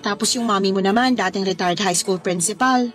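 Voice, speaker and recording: A woman's voice: medium pitch, a little fast, faint music behind, natural voice. An actress playing a sweet, accommodating young Filipino woman, a shy girlfriend, in a radio drama. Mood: nervous, impressed.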